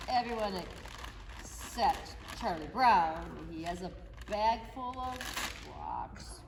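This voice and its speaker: A woman narrating a children's reader's theater story in an expressive, animated way, recorded from afar in an echoey hall. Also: high-pitched, noisy background. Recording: echoey hall, mic far off.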